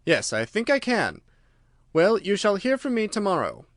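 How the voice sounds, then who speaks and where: A man voicing a cool, self-assured detective with measured, smooth authority in a storybook reading. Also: high-pitched, wildly swooping pitch, no echo, studio quality, voice pushed up. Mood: commanding.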